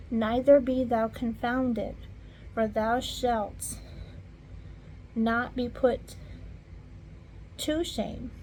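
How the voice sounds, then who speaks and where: A woman reading scripture aloud in an informal way, halting at hard words and talking softly to her viewers between verses. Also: on the high side, a little hiss or hum, poor audio. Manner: slow, halting, stumbles over archaic words. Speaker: Bible reader.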